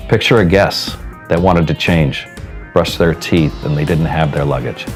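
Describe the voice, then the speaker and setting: Deep-voiced middle-aged cruise hotel director. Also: male voice, normal speed. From United States. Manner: vivid, storytelling. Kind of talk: corporate testimonial interview. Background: background music.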